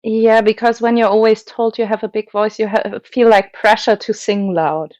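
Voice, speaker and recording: A woman's voice: mid-range voice, fairly even intonation, normal speed, a little mellow, no echo, highs cut off. Dry-voiced woman, hesitant opera guest. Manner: thoughtful, hesitant, many fillers and restarts, laughs often. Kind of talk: casual interview chat.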